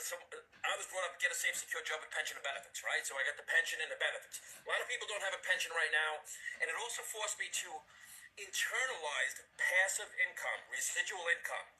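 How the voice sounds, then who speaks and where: A man's voice: high-pitched, rough recording. Casting: New York guy, ex-cop, telling his story with energy over a video call. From New York City, USA. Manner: animated storytelling, acts out quoted voices. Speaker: guest speaker, former police officer and firefighter. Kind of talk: motivational testimonial.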